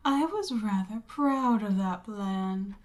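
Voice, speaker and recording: Casting: woman as domineering Demon Queen for ASMR roleplay, mid-pitched, unhurried, breathy half-whisper, smugly seductive. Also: darkish tone. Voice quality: breathy, often dropping into whisper. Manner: slow, smug, coaxing, commanding.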